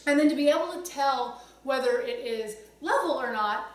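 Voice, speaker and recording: A woman's voice: high voice, expressive, normal speed. A middle-aged woman giving a casual, conversational cooking demonstration to camera in her home kitchen, explaining things with chatty asides. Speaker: cooking instructor, host. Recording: roomy echo, distant mic, some background noise.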